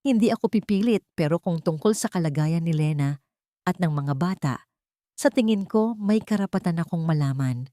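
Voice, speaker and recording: A woman's voice: on the low side, expressive, moderate pace, warm tone, no room sound, clean studio sound, close to an everyday voice. A woman with a Filipino accent voicing a remorseful, determined wealthy man in a romantic story, earnest and insistent.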